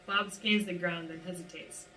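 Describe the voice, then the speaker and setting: A woman's voice: lowish voice, fairly even intonation, normal speed, sharp tone. A woman reading stage directions as scripted narration at a live table read. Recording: roomy, distant mic, clearly noisy.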